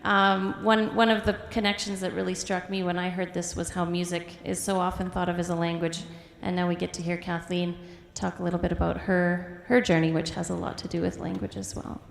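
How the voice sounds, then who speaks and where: A woman introduces the next speaker at an event, a little halting and filling pauses with ums. Her voice echoes around a big hall with long reverb. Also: on the low side, flat delivery, gravelly. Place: large hall.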